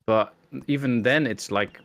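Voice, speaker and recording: A man from the Netherlands speaks English with a Dutch accent. His voice has an average male pitch. He talks casually, like a guest chatting along on a friend's stream. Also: measured pace, fairly bright tone. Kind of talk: casual chat.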